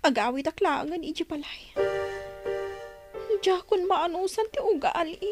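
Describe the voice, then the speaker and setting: An actress in a radio drama playing a long-suffering, distressed young wife with a plaintive, earnest way of talking. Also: female voice, extremely high pitch, unhurried, over music. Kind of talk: Ilocano radio drama acting.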